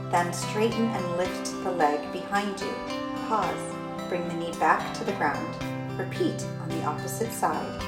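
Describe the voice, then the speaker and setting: A woman reading guided yoga instructions for children in measured short phrases with deliberate pauses. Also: lowish voice. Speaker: storytime host and yoga instructor reading aloud. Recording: roomy echo with plucked guitar music behind.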